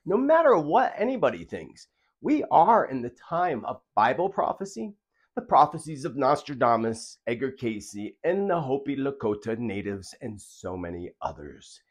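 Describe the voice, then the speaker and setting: A man's voice: medium pitch, big pitch swings, moderate pace. A man hosting a video, speaking earnestly and emphatically straight to his viewers in commentary style. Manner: earnest, emphatic, rhetorical questions. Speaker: host of a prophecy video channel.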